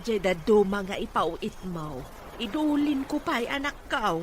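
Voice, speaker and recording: A woman's voice: medium pitch, big pitch swings, a little slow, slight background noise. Woman acting protective older mom in an audio drama, outspoken, voice moves up and down a lot. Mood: motherly. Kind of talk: Ilocano radio-style drama acting.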